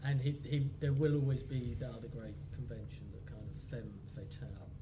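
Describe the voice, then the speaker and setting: Man, panellist, informal rambling talk, off-mic, clear background noise. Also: medium pitch, a little fast. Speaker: panellist, novelist. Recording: distant mic, noisy live room.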